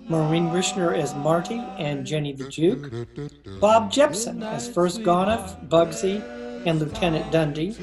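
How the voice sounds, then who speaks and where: A man reading a scripted credits announcement as a radio-play announcer, recorded remotely from home. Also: on the high side. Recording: home video-call recording with music behind. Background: instrumental music.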